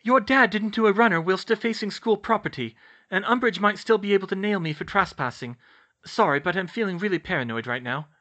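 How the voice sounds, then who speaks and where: A man's voice: high-pitched, brisk, sharp tone, highs cut off, voice pushed up. A man voicing a fierce, determined and protective teenage witch girl in a story, speaking in an urgent, emphatic way. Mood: earnest. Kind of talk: audiobook character voice.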